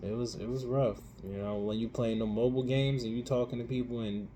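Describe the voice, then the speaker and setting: Playful slangy man with a mellow voice. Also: mid-range voice, a little fast, slight background noise. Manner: animated, playful slang, hypes up his co-host. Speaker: co-host. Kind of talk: casual chat. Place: living in Europe.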